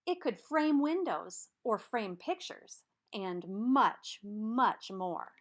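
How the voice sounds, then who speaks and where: A woman reading a children's story aloud in an expressive, deliberate way, stressing key words. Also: medium pitch. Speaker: storybook reader.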